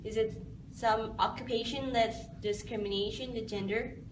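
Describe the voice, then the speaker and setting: A woman's voice: on the high side, fairly even intonation, moderate pace. A young woman student interviewing a friend, speaking non-native English with many fillers and prompts. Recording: echoey room, distant mic, noisy.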